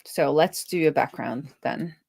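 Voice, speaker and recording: Woman, low voice, close dry sound, informal live coding stream, thinking aloud. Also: measured pace, creaky, darkish tone, husky, dry recording. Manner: informal, self-talking.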